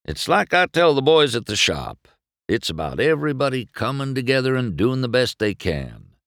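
A man's voice: medium pitch, sing-song, normal speed, a bit bright, dry recording, studio quality, pitched above the performer's own voice. A man voicing an older male champion race car who gives blunt, folksy advice. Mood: advising.

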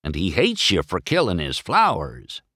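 A man's voice: deep voice, wildly swooping pitch, normal speed, sharp tone, slightly rough, no room sound, studio quality, exaggerated voice. A man voicing a friendly, boastful, simple tow truck in a children's story, with a folksy, rambling manner. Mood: matter-of-fact.

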